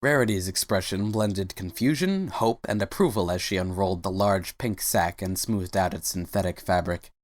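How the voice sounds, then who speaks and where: Low-voiced man narrating a story. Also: normal speed, few pauses, no room sound, clean studio sound. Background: faint music.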